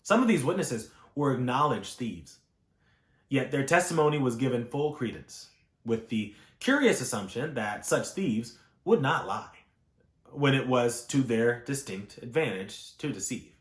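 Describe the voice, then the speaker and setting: In a clearly reverberant room, a man reads a history book aloud. His voice sits at a middling pitch, and his delivery is formal and measured, leaning firmly on key words. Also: expressive. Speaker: audiobook reader. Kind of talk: read-aloud of scholarly history text. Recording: echoey room.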